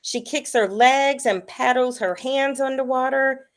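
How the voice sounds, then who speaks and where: A woman reading a picture book aloud to children in a deliberate storytelling manner. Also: high-pitched.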